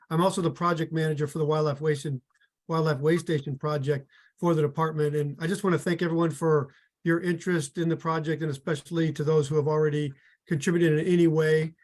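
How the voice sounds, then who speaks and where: A man, a regional wildlife manager, introducing himself quickly on a video call. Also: on the high side, level tone, a little mellow.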